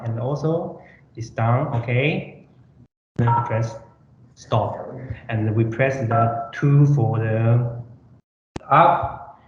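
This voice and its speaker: Slow-talking man demonstrating products, roomy echo. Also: mid-range voice. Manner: halting, simple non-native English with repetitions. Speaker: product presenter. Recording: roomy echo, dull sound, faint noise.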